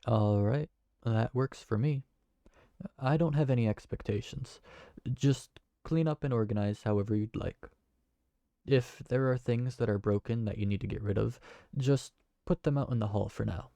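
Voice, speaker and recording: A man's voice: medium pitch, normal speed, creaky, warm tone, no room sound, natural voice. A man voicing a kind, awkward tavern keeper who talks in a hesitant, friendly way. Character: kind, awkward young male tavern keeper named Briar. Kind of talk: fantasy audio roleplay.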